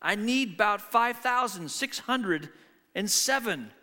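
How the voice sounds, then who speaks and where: A man's voice: high-pitched, animated, takes it slowly, bright, forceful tone, exaggerated voice. A man voicing a pompous, boastful turtle king who bellows out commands in a storybook reading, in a hall with long reverb. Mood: indignant.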